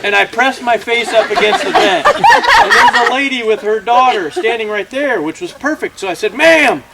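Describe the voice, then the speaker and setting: Animated high-pitched man telling comic stories. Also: moderate pace, gravelly, heavy background noise. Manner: exaggerated, acting out quoted dialogue. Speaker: comic storyteller. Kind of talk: animated comedic storytelling to a live audience. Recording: loud audience laughter behind. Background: laughing crowd.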